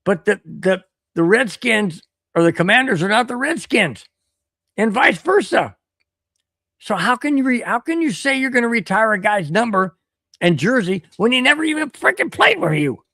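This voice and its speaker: Riled-up man ranting solo, voice going hoarse. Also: sits high, normal speed, no room sound, studio quality. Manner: animated, repeats himself, riled up. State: voice getting hoarse. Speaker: podcast host. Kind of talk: solo livestream rant reading chat.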